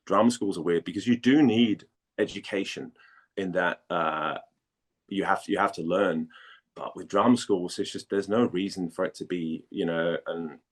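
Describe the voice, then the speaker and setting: Male interview guest from Denmark, deep register, informal and hesitant, conversational delivery. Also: moderate pace. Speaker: interview guest, voice actor and former model.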